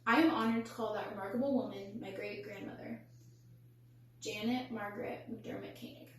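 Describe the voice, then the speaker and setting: A woman's voice: mid-range voice, fairly even intonation, normal speed, a crowd in the background. Echoey, distant young woman giving a speech. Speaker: student public speaker. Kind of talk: rehearsed storytelling speech. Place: indoor echoey room, recorded from a distance. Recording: echoey room, distant mic, faint hum.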